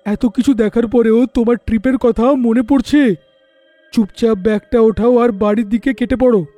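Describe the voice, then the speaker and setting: A protective husband and father in a cartoon story, voiced by a man. His voice sits very high for a man, with a mellow tone in a dry, close recording. He sounds alarmed and quick to scold. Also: fairly even intonation, dry recording, faint music behind. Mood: worried. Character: worried protective family man. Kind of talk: cartoon voice acting.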